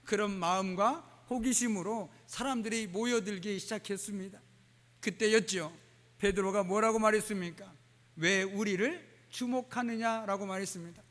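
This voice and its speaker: A male pastor preaches to his congregation in a fairly high voice, pausing often with "uh" and slipping in dialect phrases. The sound carries a long church-hall reverb. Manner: warm preaching with dialect phrases and frequent "uh" fillers. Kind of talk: sermon. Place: church sanctuary.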